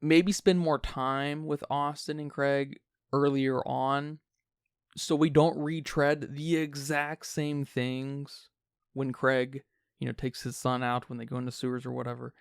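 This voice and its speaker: A man's voice: mid-range voice, level tone, unhurried, vocal fry, clean tone, dry recording, clean studio sound. A man co-hosting a podcast, talking casually and analytically about film in wordy phrasing. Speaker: podcast co-host.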